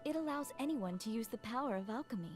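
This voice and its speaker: A woman's voice: a little high, lively intonation, fast talker, natural voice. Woman as a composed, blunt talking book. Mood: calm, instructive. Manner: composed, formal, matter-of-fact. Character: blunt, unflappable female talking book with lost memory. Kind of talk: anime game voice acting. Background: game music.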